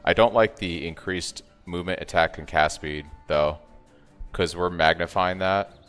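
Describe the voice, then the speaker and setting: Deep, soothing male streamer. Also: measured pace. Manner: relaxed, thinks aloud, reads and answers chat. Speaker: game streamer. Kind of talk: casual live-stream commentary. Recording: long reverb, faint music behind.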